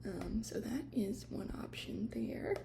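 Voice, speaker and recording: A woman doing an ASMR shop roleplay as an attendant, whispering gentle explanations in a hesitant, unscripted way. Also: medium pitch, takes it slowly, gravelly. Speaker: ASMR creator playing a tea shop attendant.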